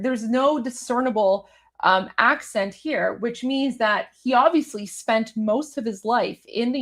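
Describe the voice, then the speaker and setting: Animated Canadian woman hosting, higher voice. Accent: Canadian, Toronto. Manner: animated, repeats points, many fillers. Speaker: host. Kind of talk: live commentary on a news clip.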